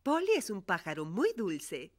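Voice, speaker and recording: A woman voicing a kindly older grandmother pig in a Spanish cartoon dub, gently fussing over children. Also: mid-range voice, wildly swooping pitch, measured pace. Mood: kindly, gentle.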